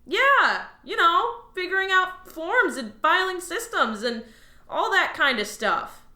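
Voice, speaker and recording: A woman's voice: extremely high pitch, sing-song, takes it slowly, sharp tone, heavily acted. A woman playing a lazy, evasive new alien office worker who dodges blame in a comedic audio drama. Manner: evasive, sheepish, flippant. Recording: roomy echo.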